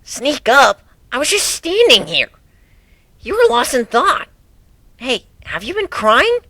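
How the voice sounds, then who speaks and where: A woman's voice: sits high, wildly swooping pitch, takes it slowly, pushed and bright, no echo, heavily acted. A woman voicing a brash, caring young female pegasus pony in a dramatic reading, talking bluntly and casually. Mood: concerned, surprised. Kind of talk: dramatic reading of a fan story.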